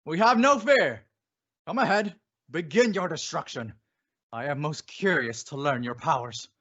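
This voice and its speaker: A man playing a bold, inquisitive gentleman detective in an old-style radio mystery, theatrical and self-assured. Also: on the high side, animated, unhurried. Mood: defiant. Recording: dull lo-fi radio play recording.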